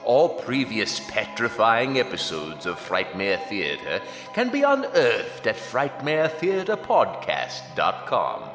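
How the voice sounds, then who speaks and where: An actor playing a formal, ominous announcer reading scripted credits in old-time horror radio style. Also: male voice, mid-range voice, wildly swooping pitch, slow pace, hardly any pauses, a bit bright, full character voice. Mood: ominously formal. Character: grandly spooky radio announcer. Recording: echoey hall reverb, music behind.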